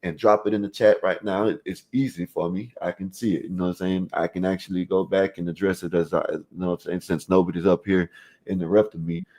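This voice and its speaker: A man with a very low, deep voice hosts a casual call-in stream. He reads the chat aloud and talks straight to viewers in loose slang, rambling and restarting his sentences. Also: steady tone, quick pace, warm tone. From Florida, US. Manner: loose street slang, frequent "you know what I mean" fillers. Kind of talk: casual chat reading out live chat.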